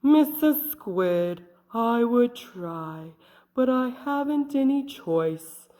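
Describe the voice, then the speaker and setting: A gloomy, sulky cartoon fish, as a woman puts him on during a storybook reading. He drags out every word in a mopey sing-song, crawling along at a very slow pace. His pitch swoops widely up and down as he sulks. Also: animated, mellow. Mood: glum. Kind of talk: storybook read-aloud.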